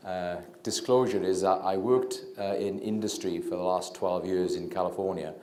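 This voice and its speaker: A middle-aged Welsh man, a physician giving a public talk into a handheld mic, hesitant with many fillers and restarts, and a self-described low talker. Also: mellow. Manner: low-talking. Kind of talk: public lecture. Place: lecture room with audience. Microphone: handheld microphone. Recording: echoey lecture room.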